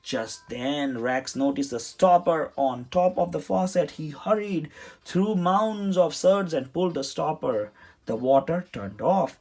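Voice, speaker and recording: A man reading a children's story aloud in an expressive, dramatic storytelling manner. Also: on the high side, measured pace. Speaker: storybook reader. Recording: music behind the voice. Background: music.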